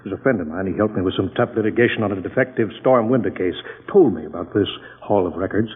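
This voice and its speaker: An actor playing a boisterous, joke-loving American out-of-towner in a mid-century radio comedy-drama, hearty and gabby. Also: male voice, lowish voice, fast, slightly rough. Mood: jovial, eager. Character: boisterous, joke-loving out-of-town male visitor, hearty and tireless. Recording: tinny band-limited old radio broadcast.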